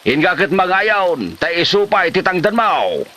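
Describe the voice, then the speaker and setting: A man's voice: high voice, expressive, a little slow, sharp tone, heavily acted. A man playing an exasperated older village father figure who scolds and exclaims in a comedy drama. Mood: scolding.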